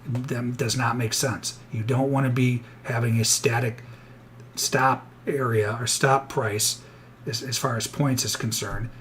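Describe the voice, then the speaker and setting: A slightly hoarse man casually thinking aloud as a day trader on a live stream, with plenty of restarts. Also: mid-range voice, fairly even intonation, a little slow, a bit bright, a little hiss or hum. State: a little hoarse. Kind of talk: casual live trading commentary.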